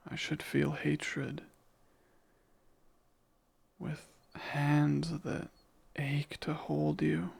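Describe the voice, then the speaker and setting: A man playing a jealous, devoted demon in an intimate ASMR romance roleplay, speaking ardently and deliberately in a breathy, gravelly voice. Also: fairly high pitch, full character voice. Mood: adoring, yearning. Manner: slow, deliberate, ardent, possessive. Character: jealous, devoted male demon confessing love.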